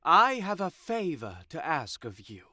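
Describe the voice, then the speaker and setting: A sly, unhurried god of deception, played by a man in a fantasy audio drama. The voice sits fairly high for a male and moves slowly, with deliberate pauses. The sound is close and dry. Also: sing-song, bright, forceful tone, no echo, exaggerated voice. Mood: sly, persuasive.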